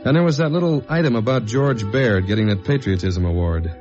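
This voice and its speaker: An actor playing a tough, wry government investigator in a 1950s-style radio detective drama, with clipped, slangy delivery. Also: male voice, mid-range voice, fairly quick, darkish tone, natural voice. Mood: hard-boiled, weary. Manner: clipped, slangy, hard-boiled. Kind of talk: 1950s radio acting with first-person narration. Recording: dull vintage radio recording with music.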